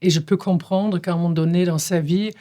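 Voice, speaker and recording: A woman's voice: lowish voice, steady tone, brisk, dark tone. A woman whose first language is German, a stage director speaking in a reflective conversation, thinking aloud and searching for words with frequent hesitations.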